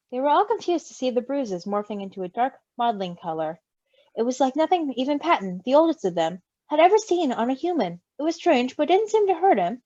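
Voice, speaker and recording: A woman reading a story aloud in an expressive, gushing, giggly way, with amused asides. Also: high-pitched, dry recording. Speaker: fan fiction reader. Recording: dull lo-fi recording.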